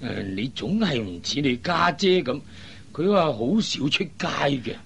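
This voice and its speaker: A man's voice: on the high side, expressive, a little slow, vocal fry. A man playing a worried, old-fashioned middle-aged father in a Cantonese radio drama, fretful and hesitant. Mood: disapproving. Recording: faint background noise.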